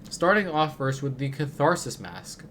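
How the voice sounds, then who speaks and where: A man with a cold gives a loose, chatty game guide, and his voice comes out stuffy through a blocked nose. He wanders through his points with lots of fillers and restarts, at a middling pitch. A faint hiss sits behind him. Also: sing-song, moderate pace, fairly bright tone. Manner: rambling, many fillers and restarts. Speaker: gaming video creator. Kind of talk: casual game guide commentary.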